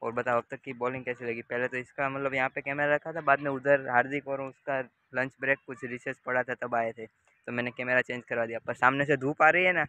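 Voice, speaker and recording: A man's voice: medium pitch, steady tone, fairly quick, bright, forceful tone, no echo. Young man vlogging outdoors. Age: young adult. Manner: chatty, addresses viewers directly. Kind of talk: casual vlog narration. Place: outdoor cricket ground. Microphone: handheld camera at arm's length.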